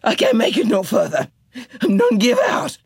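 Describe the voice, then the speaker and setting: A woman's voice: a little high, wildly swooping pitch, normal speed, gravelly, a little mellow, breathy edge, no room sound, clean studio sound, pitched above the performer's own voice, full character voice. A man voicing a frightened young woman, fleeing a flood and pleading breathlessly.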